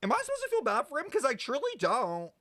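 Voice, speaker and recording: Animated, blunt woman game streamer. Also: high-pitched, fast talker, no echo. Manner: blunt, profane, exaggerated outrage. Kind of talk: casual live game reaction commentary.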